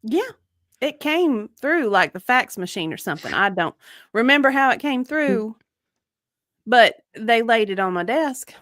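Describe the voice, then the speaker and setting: A woman's voice: a little high, expressive, measured pace, a bit bright, no echo, studio quality. A woman acting the part of an evasive, defensive victim advocate under deposition, hesitant and clipped in a dramatic reading.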